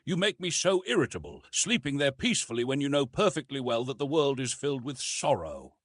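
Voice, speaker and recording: A man's voice: medium pitch, sing-song, fairly quick, no room sound, clean studio sound. A man voicing an emotional, proud and easily wounded young woman in an audiobook, with an impassioned, haughty manner. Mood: irritable.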